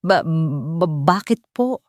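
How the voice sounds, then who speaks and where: A woman's voice: on the low side, lively intonation, takes it slowly, dry recording. A guilty, fearful younger woman from an audio love drama, acted by a female narrator. She speaks with urgency, in a dry, close recording. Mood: startled.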